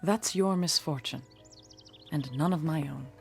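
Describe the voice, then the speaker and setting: An actress playing a bitter, haunted, hard-drinking woman in her forties in a radio drama, theatrical and sardonic with a husky voice. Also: deep voice, a little slow, exaggerated voice. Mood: brooding, haunted. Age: 40s. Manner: theatrical, sardonic, self-pitying. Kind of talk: old-time radio drama acting. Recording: radio drama with music underneath.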